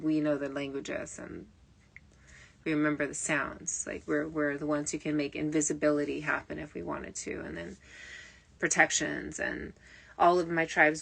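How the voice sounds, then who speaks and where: Low, creaky-voiced woman rambling. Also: a bit bright. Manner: meandering, digressive, frequent fillers and restarts. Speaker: spiritual medium. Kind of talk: rambling late-night livestream talk. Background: faint early nineties music.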